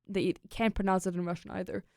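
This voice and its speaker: Smooth-voiced young woman, chatty. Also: medium pitch, flat delivery, fast, a little mellow, no echo, clean studio sound. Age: young adult. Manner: chatty, peppers talk with "like" and "you know". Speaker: guest, international exchange student. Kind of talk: casual personal storytelling in an interview.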